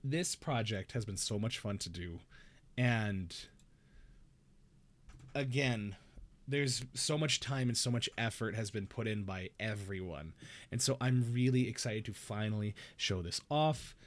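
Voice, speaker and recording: A man's voice: fairly low pitch, a little slow. A young man hosting a casual livestream, rambling and self-deprecating in an upbeat way. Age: young adult. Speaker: stream host.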